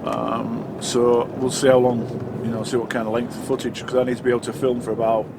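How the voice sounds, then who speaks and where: A man's voice: medium pitch, little pitch movement, fairly quick. A middle-aged man with a blocked nose, a truck driver chatting casually and rambling to camera from his cab while driving. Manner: rambling, chatty, self-correcting. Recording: loud road noise behind.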